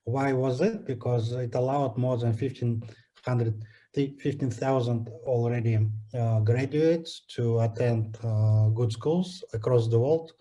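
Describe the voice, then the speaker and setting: A man in his forties from Kazakhstan with a Kazakhstani accent, answering interview questions in a measured, phrase-by-phrase way. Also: medium pitch, steady tone, dark tone. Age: 40s. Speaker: interview guest, energy executive. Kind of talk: conversational interview answer, personal background.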